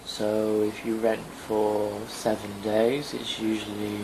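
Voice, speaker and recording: A man's voice: lowish voice, level tone, slow pace. A man working as a real estate agent, answering interview questions in a casual, matter-of-fact way. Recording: field recording with background noise.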